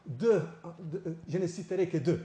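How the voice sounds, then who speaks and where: Halting professor in an echoey studio. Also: male voice, fairly high pitch, animated, warm tone. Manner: halting, many repeated restarts. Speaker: professor and debate guest. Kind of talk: televised panel debate.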